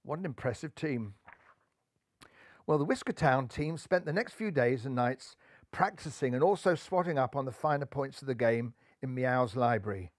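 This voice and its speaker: A man's voice: mid-range voice, animated, moderate pace, no echo, studio quality. A man narrating a children's animal story aloud in a playful, theatrical way.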